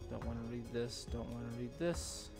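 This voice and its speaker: A man's voice: medium pitch, normal speed, gravelly. Man, game streamer, mid-range voice, laid-back, rambling, casual commentary, music in the background. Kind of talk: casual live gameplay commentary.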